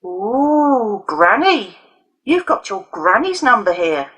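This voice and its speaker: Woman acting a jeering, high-pitched boy bully. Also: sing-song, slow, fairly bright tone, husky, heavily acted, low-quality recording. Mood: mocking. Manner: crowing, taunting. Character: jeering, swaggering schoolboy bully gang leader.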